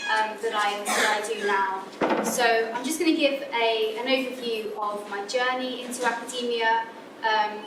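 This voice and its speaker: A young adult woman with a southern English accent, an academic giving a friendly careers talk to students, with lots of ums and restarts. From Scotland. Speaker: guest speaker, academic physicist. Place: lecture room. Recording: echoey lecture room from far off, background rumble.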